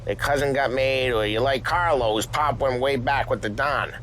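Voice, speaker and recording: A man's voice: mid-range voice, normal speed, fairly bright tone, close to an everyday voice. An actor playing a cocky, easygoing mob henchman, clipped and wry, in a video game. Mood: easygoing, teasing. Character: cocky, easygoing street-bred male mobster, seasoned henchman. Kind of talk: cinematic video game voice acting. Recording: clear car engine noise behind.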